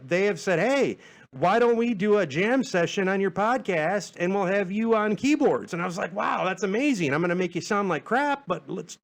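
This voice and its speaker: A man's voice: high voice, vocal fry. A man hosting a podcast in casual, rambling talk, hesitant and self-deprecating, often stammering and repeating his words. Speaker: podcast host.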